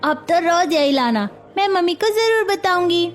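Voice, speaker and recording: A woman plays an eager young schoolchild in a cartoon. The voice is high and cheerful, with faint music behind it. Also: expressive, moderate pace. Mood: excited. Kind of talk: cartoon child acting.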